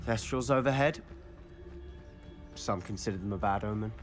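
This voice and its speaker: A man's voice: lowish voice, moderate pace, faint music behind, natural voice. A man playing a hot-headed, impatient teenage schoolboy wizard in a video game, with a British accent and a brusque, sardonic manner. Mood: brisk, wry. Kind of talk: video game character acting.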